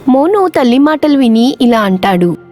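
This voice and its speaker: A woman's voice: sits high, big pitch swings, moderate pace, darkish tone, soft background music. A woman narrating a scripted moral story for children as the storyteller.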